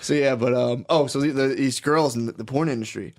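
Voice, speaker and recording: A man's voice: medium pitch, a little fast, a little mellow. A man chatting casually and crudely as a comedy podcast host, rambling through profane stories and acting out bits of dialogue. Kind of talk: casual, crude comic chat.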